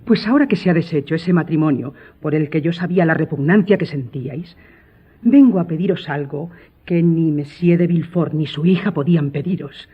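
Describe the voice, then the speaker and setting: An actress playing a scheming aristocratic stepmother in a Spanish-language radio drama, speaking in a formal, measured, pleading way. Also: low voice, breathy edge. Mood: solemn pleading. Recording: muffled phone-like audio, music behind.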